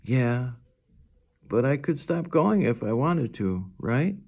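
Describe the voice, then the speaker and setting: Storybook kid, sulky, weary and reluctant, creaky edge, read by an adult man. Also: medium pitch, animated, takes it slowly, darkish tone, voice pushed up. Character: sullen, tired young boy, guarded with his parents.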